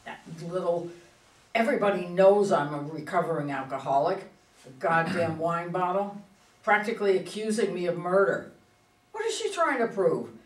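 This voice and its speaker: A woman's voice: fairly low pitch, expressive, normal speed, lightly acted. Recorded from a distance in an echoey room, an actress plays an older woman in politics, proud and combative. She speaks in an indignant, emphatic stage-play manner. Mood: bitter. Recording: echoey room, far from mic.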